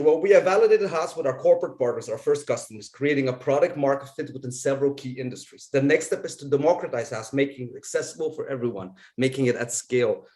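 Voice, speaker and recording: A man from Russia presenting his startup in a rehearsed, upbeat pitch over a video call. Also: darkish tone.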